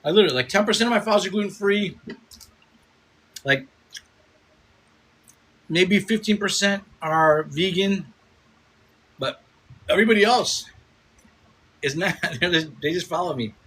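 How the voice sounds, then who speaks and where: A man's voice: high voice, normal speed, long pauses, a bit bright, breathy edge. A man in his fifties chatting casually on a live stream, rambling, repeating himself and swearing as he reads comments. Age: 50s. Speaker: food content creator streaming live. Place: home, Chicago. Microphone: phone.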